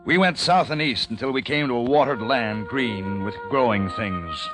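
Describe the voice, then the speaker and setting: A man's voice: medium pitch, expressive, normal speed, natural voice, rough recording. An actor playing a weary, grieving man who leads a few survivors, in a solemn, dramatic old-time radio style. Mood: resolute, weary. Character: weary, grieving male survivor leading a small community. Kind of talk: old-time radio acting with first-person narration. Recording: old radio recording with music behind.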